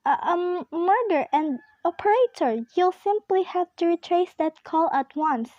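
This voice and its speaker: A high-pitched, dryly recorded woman playing an anxious invalid wife in a radio drama. She is fretful and imperious, stumbling over words as she makes her demands. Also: animated, unhurried, no echo, muffled recording. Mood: agitated. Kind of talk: amateur radio play acting.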